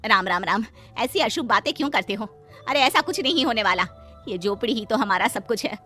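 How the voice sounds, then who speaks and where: Cartoon poor maid wife, anxious and dutiful, high pleading voice, voiced by a woman. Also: expressive, brisk, sharp tone, faint music behind. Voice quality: pleading, fretful. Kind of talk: Hindi cartoon voice acting.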